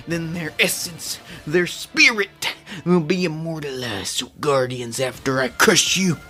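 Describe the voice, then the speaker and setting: A menacing monster wrestler villain played by an actor for an audio drama. The voice is forceful and sharp, lingering slowly over grand, philosophical threats. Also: mid-range voice. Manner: grandiose, theatrical pronouncements.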